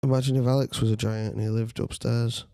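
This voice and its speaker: A young man in a casual podcast chat, rambling through playful ideas with lots of ums. His voice sits at a middle pitch and sounds dry and close. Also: flat delivery, fairly quick, warm tone, no room sound. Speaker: podcast co-host.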